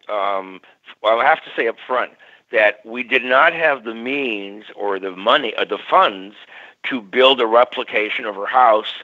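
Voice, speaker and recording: An older male parapsychologist telling stories as a radio guest, lively, acting out quoted voices. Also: fairly low pitch. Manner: animated, acts out quoted voices. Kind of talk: storytelling in a radio interview. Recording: muffled radio audio.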